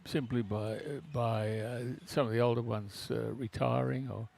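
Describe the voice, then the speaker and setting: Co-commentator: gravelly-voiced man who talks in halting, restarting phrases. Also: medium pitch, little pitch movement, a little mellow. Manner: halting, with many restarts and uh fillers. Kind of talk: relaxed commentary chat.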